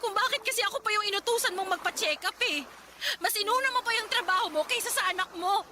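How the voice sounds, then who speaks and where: A woman's voice: very high voice, lively intonation, quick pace, noisy background. An actress playing a worried young mother and wife, talking in a plaintive, pleading way. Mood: upset. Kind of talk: radio drama acting. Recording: voice over drama music.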